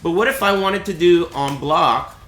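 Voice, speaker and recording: A man with a raspy, cracking voice, teaching a fighting game on a live stream in a casual, explanatory way. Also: a little high, normal speed, a bit bright, echoey room, soft background music. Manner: chatty, explanatory, asks "right?" often.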